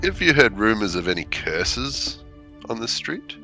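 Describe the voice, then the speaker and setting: A man with a very deep but bright, forward tone speaks as a player at a tabletop game. His phrasing is polite and clipped, with an old-fashioned ring. Faint music plays underneath. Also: sing-song, unhurried.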